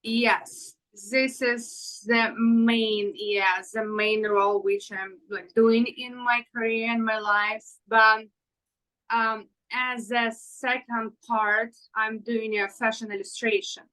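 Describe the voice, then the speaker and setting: Woman, thirties, from Ukraine, English, slow, bright tone, halting with fillers. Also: a little high, level tone, rough recording. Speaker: fashion designer guest. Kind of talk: casual interview answers.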